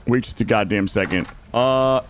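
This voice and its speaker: A man livestreaming a game, chatting casually and playfully with some mild swearing, his voice slightly gravelly and not yet warmed up. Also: lowish voice, normal speed, slight background noise. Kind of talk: casual gaming livestream commentary and chat.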